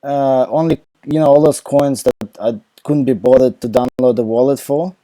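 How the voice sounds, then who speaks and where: A young Ukrainian-accented man answers questions live from home into his laptop microphone. His voice is very dark and mellow, and the sound is dry and close. He rambles casually, full of fillers and false starts. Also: mid-range voice, moderate pace, no room sound, poor audio. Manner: rambling, many fillers and restarts. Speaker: crypto live Q&A host. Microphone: laptop mic.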